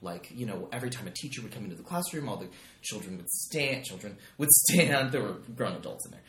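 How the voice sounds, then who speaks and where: A man's voice: fairly low pitch, animated, fast, a bit bright, echoey room, slight background noise. A man guesting on a podcast, chatting casually with many likes and ums, recorded in a living room. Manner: rambling, lots of likes and ums. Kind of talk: casual chat.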